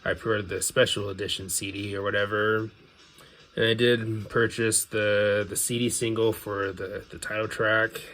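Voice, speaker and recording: A man's voice: lowish voice, level tone, normal speed, fairly bright tone. A man giving casual, unscripted commentary as a video host, offhand and hedging his opinions. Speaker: video host showing a music CD collection. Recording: clear background noise.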